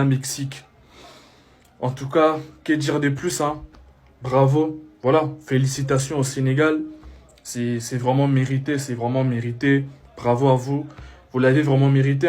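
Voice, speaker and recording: Man rambling about football. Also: mid-range voice. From DR Congo. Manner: repetitive, leans on fillers like "voilà" and "hein". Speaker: football reaction creator. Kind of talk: casual reaction talk.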